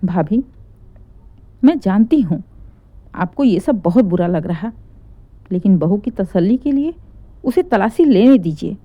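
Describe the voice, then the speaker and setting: A woman's voice: mid-range voice, lively intonation, measured pace, long pauses, dry recording, dull audio. Woman as a dignified, forbearing elderly aunt. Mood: calm, reassuring.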